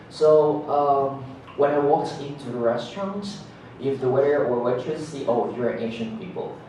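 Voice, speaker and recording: Guy running a class chat, asks lots of questions, laughs a bunch, mic far off in a boomy room. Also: mellow. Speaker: class discussion leader. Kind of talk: classroom discussion. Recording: very echoey room, mic far off, faint noise.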